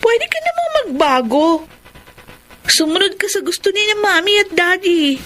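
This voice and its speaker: An actress playing a gentle older sister who coaxes her brother in a radio drama. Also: very high-pitched, sing-song, normal speed, full character voice. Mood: worried.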